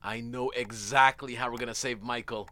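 A man's voice: medium pitch, fairly even intonation, normal speed, pushed and bright, close to an everyday voice. An actor playing a smug, scheming young man with a nefarious plan in an audio drama. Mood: smugly pleased.

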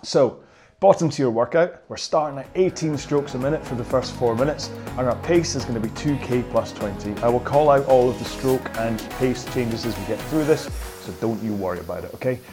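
A man coaching a rowing workout, calling out cues in an upbeat, jokey way, his voice slightly hoarse. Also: mid-range voice, fairly quick. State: a bit hoarse. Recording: music playing behind. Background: workout music.

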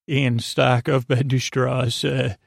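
A man hosting a sleep podcast with a goofy, rambling bedtime monologue, haltingly trailing off and doubling back. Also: medium pitch, level tone, gravelly, no echo, clean studio sound. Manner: whimsical, goofy.

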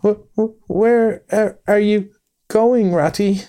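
A man's voice: high-pitched, little pitch movement, moderate pace, warm tone, soft background music, voice pushed up. A man voicing a timid, tearful young male mole who stammers and sobs. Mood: pleading. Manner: stammering, sobbing delivery.

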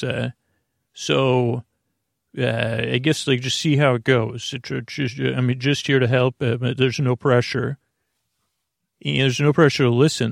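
A man hosting a bedtime podcast in a slow, creaky voice, wandering through gentle tangents and restarting his sentences. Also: mid-range voice, dry recording, studio quality. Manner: slow, meandering, self-interrupting, gently joking. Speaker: sleep podcast host. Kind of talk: rambling bedtime monologue.

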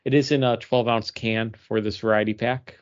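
A man's voice: medium pitch, fairly even intonation, normal speed, muffled recording. A man co-hosting a podcast in casual chat with friends, rambling and correcting himself as he goes. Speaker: podcast co-host.

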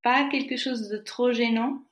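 A woman's voice: on the high side, steady tone, a little slow, echoey room. A woman from Portugal, a painter, telling an anecdote in an amused, storytelling way. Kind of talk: testimony interview.

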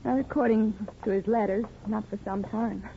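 A woman's voice: medium pitch, steady tone, moderate pace, gravelly, slight background noise, close to an everyday voice. An actress playing an emotional, lonely small-town wife and mother in a 1950s radio drama. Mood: polite, guarded. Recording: tinny 1950s radio broadcast recording.

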